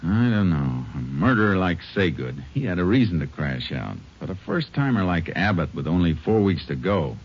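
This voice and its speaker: Deep-voiced Texas Ranger. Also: male voice, sing-song, full character voice. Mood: pondering. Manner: measured, businesslike. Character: calm, shrewd Texas Ranger investigator. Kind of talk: 1950s radio western acting. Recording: muffled old radio recording.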